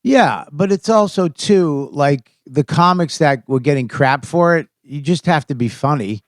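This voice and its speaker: A man's voice: fairly high pitch, lively intonation, a little slow, dry recording, studio quality. A man with a Boston accent co-hosting a morning radio show, joking and rambling in loose banter. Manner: joking, rambling, restarts a lot. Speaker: radio co-host. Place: radio studio.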